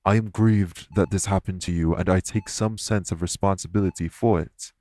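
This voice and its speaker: A man playing a solemn, guilt-ridden fae in an audio roleplay, speaking formally and earnestly in measured, deliberate phrases. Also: low-pitched, fairly even intonation, noisy background, close to an everyday voice. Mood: firm, sympathetic. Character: solemn, guilt-ridden male fae explaining magic to a patient. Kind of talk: audio roleplay acting, addressed to listener.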